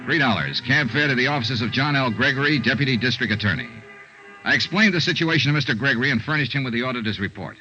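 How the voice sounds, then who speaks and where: An American actor playing a brisk, hard-nosed insurance investigator and narrator in a 1950s radio serial, with a clipped, dry, businesslike delivery. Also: male voice, medium pitch, animated, sharp tone, soft background music. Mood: brisk, narrating. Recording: muffled 1950s radio recording.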